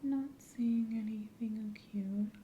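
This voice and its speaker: A soft-spoken woman, often whispering, doing an ASMR medical exam roleplay in a gentle, clinical manner. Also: a little high, fairly even intonation, takes it slowly, phone-quality sound, a little hiss or hum. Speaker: ASMR performer playing a medical examiner.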